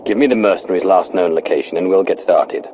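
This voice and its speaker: An actor playing a commanding, grim dark lord in a video game, speaking with measured authority. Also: male voice, deep voice, a little hiss or hum, natural voice. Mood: cold, calculating. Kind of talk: scripted video game dialogue.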